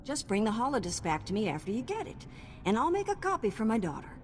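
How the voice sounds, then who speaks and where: A woman's voice: on the high side, very animated, fast. An actress playing a savvy, plainspoken undercity mother in a video game, wry and easygoing. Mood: wry, approving. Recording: background ambient noise.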